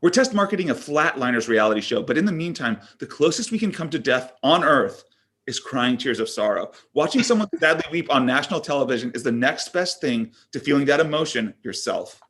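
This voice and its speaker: A man playing a brash, pushy, crass tech executive in his thirties, pitching like an overblown salesman in a comedy table read. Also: expressive, fairly quick, a bit bright, lightly acted. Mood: pushy, callous. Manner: overblown salesman patter, dramatic exclamations.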